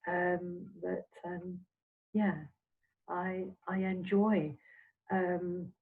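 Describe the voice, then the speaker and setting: An older woman from Scotland, a semi-retired minister, reminiscing in a halting, meandering, reflective way as an interview guest. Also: on the low side, flat delivery. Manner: halting, meandering delivery with trailing phrases. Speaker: interviewed Spiritualist minister and medium. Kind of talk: reflective, anecdotal chat. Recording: dull, phone-like audio.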